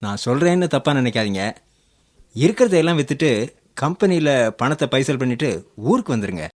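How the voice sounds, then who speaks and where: An actor playing a kind, humble villager reassuring his in-laws in a warm manner in a Tamil radio drama. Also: mid-range voice, sing-song, brisk, close to an everyday voice. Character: kind, humble village younger brother-in-law offering shelter.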